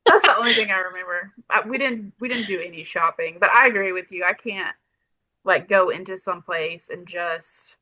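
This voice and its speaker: A woman's voice: mid-range voice, moderate pace, pushed and bright, dry recording, poor audio. A woman in her thirties, a podcast guest, chatting casually and laughing often. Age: 30s. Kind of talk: casual interview chat.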